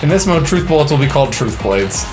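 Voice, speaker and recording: A man's voice: fairly high pitch, very animated, fast. A man casually commentating on a video game and reading its text aloud as co-host. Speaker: let's play co-host. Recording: loud game music behind the voice. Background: video game music.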